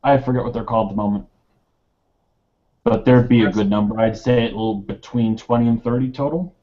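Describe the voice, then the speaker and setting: A man's voice: mid-range voice, steady tone, a little fast, mellow, muffled recording. A man in a tabletop roleplaying game, casually describing the scene and thinking aloud in a hesitant way.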